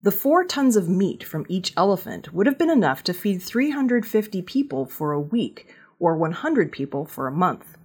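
A woman reading scripted news narration as a newsreader, with a slightly husky voice. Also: on the low side, very animated, moderate pace, a little mellow. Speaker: news reader.

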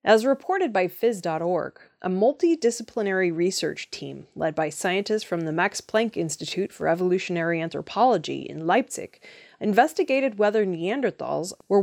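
A woman reading scripted news in a measured, formal delivery. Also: mid-range voice, gravelly, darkish tone, no room sound, studio quality. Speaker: news reader.

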